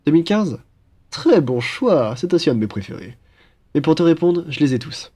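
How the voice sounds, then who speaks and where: A man's voice: fairly high pitch, big pitch swings, moderate pace, warm tone, husky. A young man playing a cheerful, teasing student roommate in a casual, one-sided audio roleplay. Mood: playful, teasing. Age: young adult. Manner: playful, chatty, bantering.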